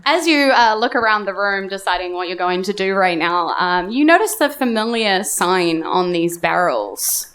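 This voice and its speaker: A woman's voice: medium pitch, animated, normal speed, few pauses, a bit bright. A woman with a New Zealand accent, playful and giggly, narrating as game master in a live tabletop game. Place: live on stage at a festival club.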